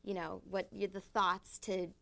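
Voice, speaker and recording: A woman hosts a casual chat, asking her guest questions in a bubbly, giggly way. Her voice has a middle pitch, typical for a woman. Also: flat delivery, measured pace, creaky, a bit bright. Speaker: interview host.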